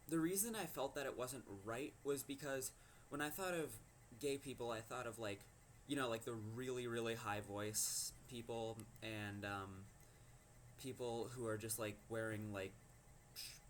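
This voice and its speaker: A fifteen-year-old boy from Minnesota with a low voice, telling a personal story to camera and hesitating often with ums and likes. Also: normal speed, fairly bright tone, a little hiss or hum. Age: teens. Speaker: teen vlogger.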